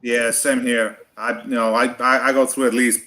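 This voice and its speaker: A man's voice: medium pitch, flat delivery, normal speed, pushed and bright. Talkative man in his forties with a mid-range voice who rambles and restarts, casual chat. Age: 40s. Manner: talkative, rambling, with frequent restarts and mild cursing.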